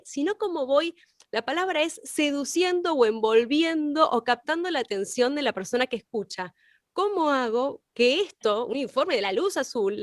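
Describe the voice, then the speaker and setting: A woman's voice: high voice, dry recording, clean studio sound. A woman explaining as a teacher in an informal open class, with examples and rhetorical questions. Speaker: radio workshop teacher.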